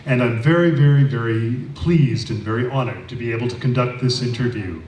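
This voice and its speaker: A man's voice: medium pitch, fairly quick, darkish tone. Older guy hosting a stage interview, formal intro, roomy sound, faint hiss behind. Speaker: host. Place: small stage with audience, indoors. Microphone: handheld mic. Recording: roomy, distant, faint noise.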